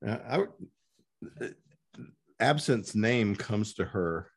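A man hosting an unscripted literary interview as interviewer, speaking haltingly with pauses mid-sentence. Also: lowish voice, expressive, gravelly. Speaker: interviewer and host.

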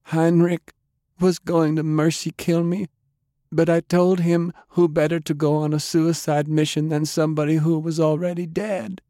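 Man voicing a high, pleading undead woman. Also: flat delivery, normal speed, dark tone, dry recording, studio quality, close to an everyday voice. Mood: pained, resigned. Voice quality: trembling. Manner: pleading, sorrowful. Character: dead woman turned undead, tender, pleading, resolute. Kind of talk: dramatic audiobook character voice.

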